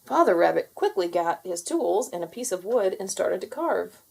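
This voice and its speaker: A woman narrating a children's storybook in an expressive, deliberate read-aloud manner. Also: lowish voice, vocal fry, husky.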